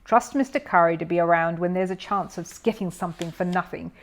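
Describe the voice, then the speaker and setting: A woman's voice: lowish voice, brisk, natural voice. Woman playing a shrewd, disapproving housekeeper. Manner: dry, snorting. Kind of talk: children's storybook character reading.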